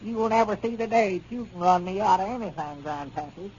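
An actor playing a touchy, gullible old country storekeeper with a folksy rural Arkansas drawl in a 1930s radio comedy. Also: male voice, high-pitched, fast talker, a little mellow, close to an everyday voice. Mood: indignant, threatening. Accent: rural Arkansas, Southern US. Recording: muffled, hissy 1930s radio recording.

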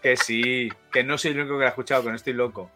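A man's voice: medium pitch, fairly even intonation, very fast, sharp tone, faint music behind. A man, co-hosting a podcast and insistently arguing his point. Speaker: podcast co-host. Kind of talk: casual chat.

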